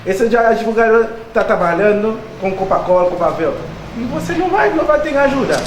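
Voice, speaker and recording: High-voiced man explaining earnestly. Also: expressive, a little fast, echoey room, slight background noise, rough recording. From Haiti. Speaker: interviewee. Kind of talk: interview answers.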